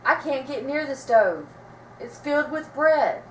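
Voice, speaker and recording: A woman's voice: high-pitched, sing-song, unhurried, pitched above the performer's own voice. A woman voicing a frantic, scolding storybook mother with a hollering, dramatic delivery. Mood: tearful. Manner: hollering, exclamatory. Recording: roomy, distant mic, faint noise.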